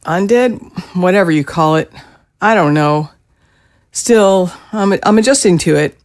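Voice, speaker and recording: A subdued middle-aged mother, newly undead, played by a woman in an audio drama. Her voice sits fairly low for a woman, and she speaks haltingly, with a defensive edge. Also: a little mellow, lightly acted. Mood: subdued, uncertain.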